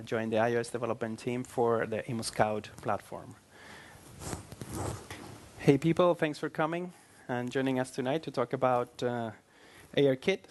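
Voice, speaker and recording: A man with a Spanish accent giving a relaxed, joking presentation at a tech meetup, with many filler sounds. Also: medium pitch, slight background noise. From Spain.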